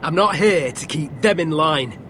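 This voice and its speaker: An arrogant young lord from a video game drama. The voice is high and domineering, taunting and cursing as it gives orders. Clear outdoor rumble sits behind it. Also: male voice, expressive, unhurried. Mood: menacing, demanding. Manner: foul-mouthed, taunting, domineering. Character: arrogant, bullying young male lord of occupying soldiers.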